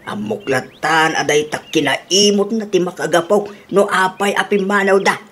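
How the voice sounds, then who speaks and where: A woman's voice: fairly low pitch, normal speed, slight background noise, natural voice. A woman voicing an apologetic, henpecked older man who grumbles resignedly in a radio comedy drama. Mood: resigned, complaining.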